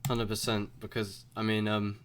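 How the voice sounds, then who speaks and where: Male podcast host, casual slangy rambler, fairly low voice. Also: completely flat delivery, normal speed, fairly bright tone.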